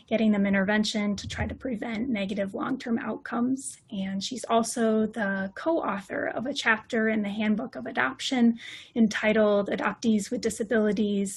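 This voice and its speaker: A woman hosting an interview, reading a prepared introduction and asking her guest questions in a clear, measured way. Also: fairly high pitch. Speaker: interview host.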